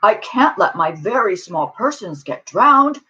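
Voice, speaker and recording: A big, gentle male elephant from a storybook, acted by a woman. He speaks slowly and emphatically, earnest and protective, in a slightly husky voice. The recording is a bit dull. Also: medium pitch, very animated, heavily acted. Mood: worried, determined.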